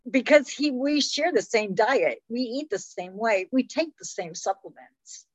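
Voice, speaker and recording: A woman's voice: fairly high pitch, animated, a little slow, dry recording. A woman in her late fifties hosting a casual health interview in a chatty, conversational way, often saying "you know". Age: late 50s. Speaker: host.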